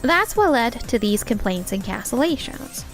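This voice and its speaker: A woman's voice: a little high, sing-song, moderate pace, faint music behind. A woman voicing a poised, encouraging young office supervisor in a manga dub, earnest and frank but kind. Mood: gently firm. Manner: composed, earnest, supportive. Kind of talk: anime-style dubbed dialogue.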